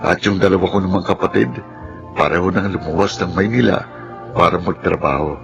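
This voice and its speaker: A man's voice: deep voice, a little fast, gravelly, lo-fi sound. A man playing a stoic, good-natured young Filipino accepting hardship, speaking earnestly and reflectively in a radio drama. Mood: reflective, resolute. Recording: music under the voice.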